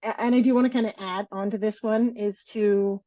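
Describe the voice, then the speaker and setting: A woman's voice: medium pitch, fairly even intonation, a little fast, mellow, no room sound. A woman co-hosting a coaching podcast, talking earnestly and encouragingly as she walks through practical steps. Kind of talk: casual podcast chat. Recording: dull, dry close mic.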